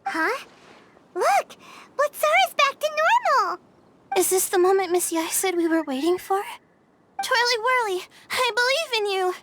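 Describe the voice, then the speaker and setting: A woman voicing a small excitable female companion sprite in a video game cutscene, chirpy and eager. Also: very high-pitched, measured pace, noisy background, full character voice. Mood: excited. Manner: chirpy, eager, cheering on allies.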